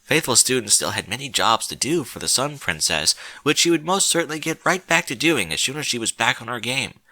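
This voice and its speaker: A man narrating a story in a slow, solemn, dramatic way with deliberate pauses. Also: mid-range voice, sing-song, sharp tone, no echo.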